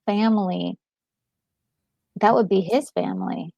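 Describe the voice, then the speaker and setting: A woman co-hosting a casual gaming reaction chat, thinking aloud in a halting way. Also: medium pitch, gravelly, warm tone, no room sound, lo-fi sound. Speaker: gaming co-host.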